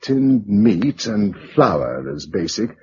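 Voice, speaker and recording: A man's voice: lowish voice, big pitch swings, slow, darkish tone. A man acting in a British radio drama as a practical, level-headed survivor, speaking in a clipped, matter-of-fact way with a British accent. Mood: brisk, practical. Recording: dull lo-fi radio recording, faint noise.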